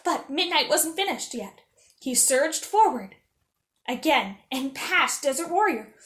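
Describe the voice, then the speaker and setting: A woman tells a children's story in a high-pitched voice. Her reading is expressive and animated, like a princess character at story time. The room adds noticeable echo. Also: a little slow. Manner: animated, acting out dialogue.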